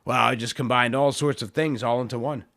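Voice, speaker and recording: A man hosting his own podcast, talking in a loose, rambling way with lots of fillers, his voice hoarse. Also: mid-range voice, fairly even intonation, a little fast, fairly bright tone. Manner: rambling, filler-heavy, self-deprecating. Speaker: podcast host. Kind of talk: casual solo podcast talk.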